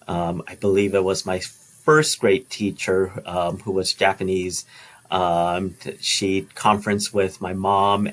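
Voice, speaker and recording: A middle-aged man and school principal giving a reflective personal talk, pausing often with ums and restarts. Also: low voice, a bit bright. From Los Angeles, USA. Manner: halting, many ums and restarts.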